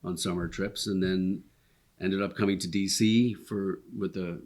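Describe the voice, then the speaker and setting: Low-voiced Dublin-accented man. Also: fairly even intonation, unhurried, a little mellow. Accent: Irish, Dublin. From Ireland. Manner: easygoing, rambling storyteller with frequent ums. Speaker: restaurant co-owner, guest. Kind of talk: casual interview chat. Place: inside one of his restaurants.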